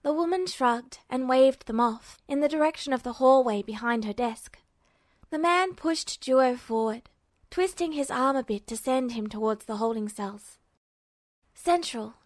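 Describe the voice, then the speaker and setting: A woman narrates a piece of fiction from a script. Her voice sits fairly high, and the recording is dry with very little room sound. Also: normal speed, no echo. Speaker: narrator, podfic reader.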